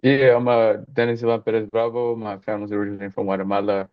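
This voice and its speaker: A man's voice: lowish voice, little pitch movement, fast, no room sound. A man from Mexico who works as a journalist, introducing himself on a radio show in a hesitant way. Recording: dull, lo-fi.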